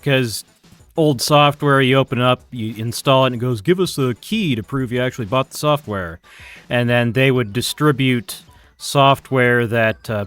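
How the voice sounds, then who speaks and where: A man's voice: mid-range voice, a bit bright. A man giving casual, informal live coding commentary as a streaming programmer, muttering to himself as he works. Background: chiptune-style keygen music.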